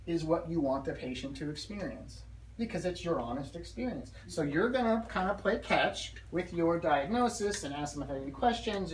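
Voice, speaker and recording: A woman with a low voice leads hands-on staff training in a directive manner, repeating key phrases and checking that listeners follow. The recording is echoey and a little distant, with faint background noise. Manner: directive, drills phrases and checks understanding often. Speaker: dental practice consultant and trainer. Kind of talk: hands-on staff training with role-play demonstration.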